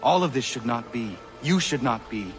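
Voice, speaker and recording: A man's voice: mid-range voice, animated, normal speed, music in the background, close to an everyday voice. A man playing a stoic young warrior son, terse and solemn, in a game cutscene. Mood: guarded. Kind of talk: dramatic game cutscene acting.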